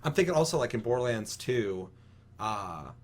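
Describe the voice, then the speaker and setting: Male podcast host, husky mid-range voice, loose profane conversational delivery about video games, blunt. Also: medium pitch, big pitch swings, moderate pace. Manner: blunt, heavy swearing.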